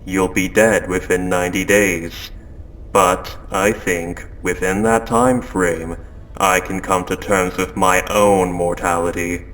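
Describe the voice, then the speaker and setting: Guy doing a creepy polite ship ai thats lost it, really slow and controlling, audio roleplay, bit of background noise. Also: sits low, flat delivery, fairly bright tone, full character voice, low-quality recording. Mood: calm, menacing. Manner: measured, polite, coldly insistent, deliberate pauses. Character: calm, controlling spaceship AI gone quietly insane. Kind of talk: scripted second-person audio drama monologue.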